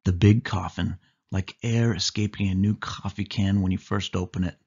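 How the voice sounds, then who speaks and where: A man narrating a suspense story in the first person, with expressive, dramatic delivery. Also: low voice, moderate pace, dull audio. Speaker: audiobook narrator.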